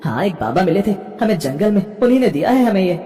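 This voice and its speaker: A woman's voice: mid-range voice, big pitch swings, fast, warm tone, soft background music, natural voice. A woman voicing a poor, grateful dwarf man, respectful and eager, in a cartoon tale. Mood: reassuring. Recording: reverberant hall-like sound.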